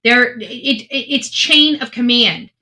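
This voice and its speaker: A woman's voice: on the high side, expressive, takes it slowly, sharp tone. A woman giving casual true crime commentary, earnest and emphatic, often restarting her sentences.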